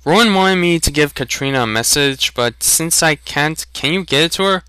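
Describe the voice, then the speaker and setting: A man's voice: on the high side, lively intonation, normal speed, pushed and bright, smooth, no room sound, voice pushed up. A man voicing a polite, eager and earnest young farm lad in a fantasy audiobook. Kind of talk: dramatic fantasy audiobook reading.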